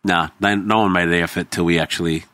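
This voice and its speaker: A man's voice: deep voice, fairly even intonation, fast, sharp tone, no echo. A man in his forties with an Australian accent, Greek as his first language, telling stories in casual chat with swearing and acted-out quotes. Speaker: podcast host.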